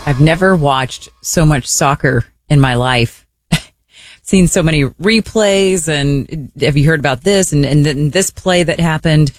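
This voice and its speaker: A woman's voice: deep voice, unhurried, dry recording, faint music behind. A woman co-hosting an evening radio show, telling a story in a rambling way with many restarts. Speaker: radio co-host. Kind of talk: casual radio chat. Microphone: studio microphone.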